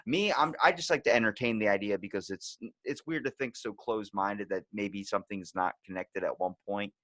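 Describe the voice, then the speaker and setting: A man with a fairly low voice talks as a podcast host in a very dry-sounding space. He rambles candidly through personal anecdotes, casual and loose, with swearing dropped in freely. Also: a little fast, a bit bright, no echo.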